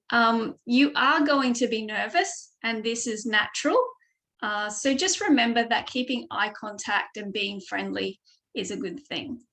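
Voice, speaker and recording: A woman's voice: fairly high pitch, a bit bright, rough recording. An Australian woman giving a webinar lesson as a speaking tutor over a video call mic, explaining steadily with frequent ums. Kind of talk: online webinar presentation.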